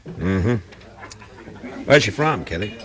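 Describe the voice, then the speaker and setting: Very deep-voiced frontier marshal, American. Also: male voice, expressive, slow pace, crowd noise. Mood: friendly, casual. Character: gruff, weary frontier lawman, plain-spoken and steady. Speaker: lead actor as town marshal. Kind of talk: 1950s radio western acting. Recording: noisy 1950s radio recording with clicks.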